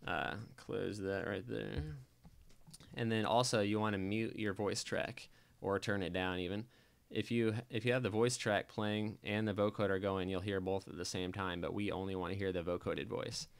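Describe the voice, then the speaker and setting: Male tutorial presenter, lowish clean voice, informal and instructional, walking through steps casually. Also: fairly even intonation, normal speed, creaky, clear, resonant tone. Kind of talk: casual software tutorial narration.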